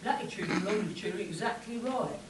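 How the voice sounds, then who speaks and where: A woman's voice: medium pitch, a little fast, close to an everyday voice. A woman playing a hearty, loyal male navigator in a live spoof radio play. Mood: assured. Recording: echoey hall, distant microphone.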